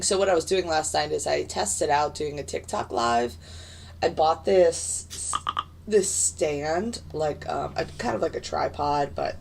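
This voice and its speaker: A woman with a slightly low, raspy voice talks through a live trading stream in loose, rambling commentary, full of fillers and restarts. She is fighting hiccups. A faint hiss of background noise sits under her voice. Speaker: futures day trader streaming live. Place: home desk in front of a computer.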